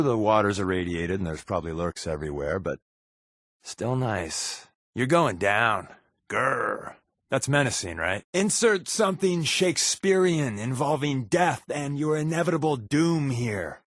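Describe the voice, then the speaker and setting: Wry, husky undercover agent. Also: male voice, mid-range voice, dry recording, clean studio sound, heavily acted. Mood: playful, joking. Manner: sarcastic, deadpan, conversational. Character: wry, wisecracking undercover agent, male, secretly wounded. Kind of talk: video game companion dialogue.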